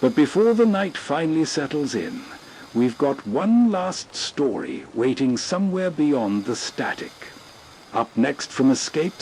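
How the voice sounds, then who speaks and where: A man hosting with atmospheric scripted narration in a folksy, unhurried manner. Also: fairly high pitch, darkish tone, a little hiss or hum, poor audio. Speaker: host.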